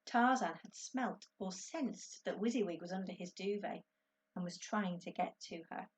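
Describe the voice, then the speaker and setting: A woman's voice: mid-range voice, moderate pace, husky. A woman teacher reading a children's story aloud expressively to her class.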